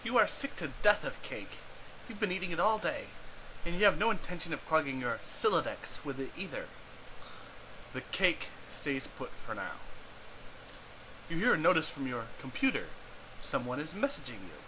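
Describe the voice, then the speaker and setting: A man's voice: a little high, very animated, normal speed, long pauses. A man reading scripted narration aloud in a theatrical, dramatized way as an amateur storyteller. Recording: clearly noisy amateur home recording.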